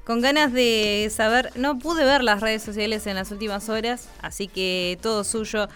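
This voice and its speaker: A woman's voice: fairly high pitch, steady tone, measured pace, fairly bright tone, soft background music. A woman with an Argentine accent hosting a radio segment, talking with frequent fillers and hesitations. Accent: Argentine Spanish. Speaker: radio host.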